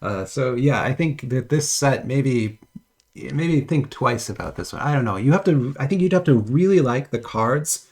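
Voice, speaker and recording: A man's voice: medium pitch, lively intonation, normal speed, a little mellow, husky. A man with a cold chatting casually while unboxing trading cards at home, rambling with many restarts and little chuckles. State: has a cold, voice a bit off. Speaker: trading card unboxing vlogger.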